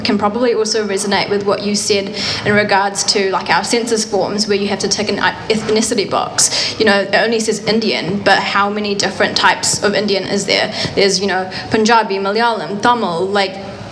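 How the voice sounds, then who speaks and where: A woman's voice: medium pitch, fairly bright tone, a little hiss or hum. A woman moderating a panel, casual and encouraging, with many fillers.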